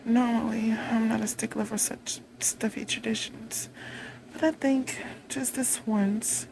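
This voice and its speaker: A woman playing a haughty, vengeful vampire queen in a roleplay monologue, imperious, taunting and deliberate in delivery. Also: fairly high pitch, fairly even intonation, creaky, background noise. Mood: taunting, commanding.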